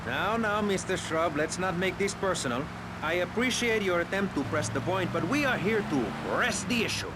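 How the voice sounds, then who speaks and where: Heavy car and street noise covers a prissy radio debate host. His high male voice swoops theatrically, exasperated and sarcastic. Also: animated, normal speed. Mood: exasperated, condescending. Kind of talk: satirical radio debate acting. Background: vehicle engine and city traffic.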